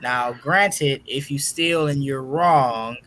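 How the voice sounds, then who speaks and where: A man hosting a casual online trivia game show, announcing questions and results in a deliberate, drawn-out way. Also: medium pitch, bright, forceful tone, slight background noise.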